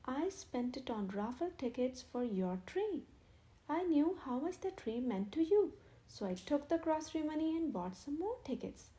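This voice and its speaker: A meek, worried friend, voiced by a woman reader, speaks in an anxious, eager way. The voice is high and soft, with a mellow tone. He belongs to a children's storybook read aloud. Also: big pitch swings, moderate pace, poor audio.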